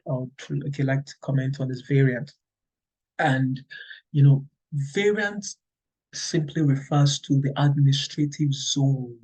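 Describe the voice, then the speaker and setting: Husky Nigerian man explaining patiently. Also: medium pitch, darkish tone, rough recording. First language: Yoruba. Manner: many "okay" checks, repeats and restarts. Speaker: English teacher. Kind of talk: explaining to teachers, conversational lecture.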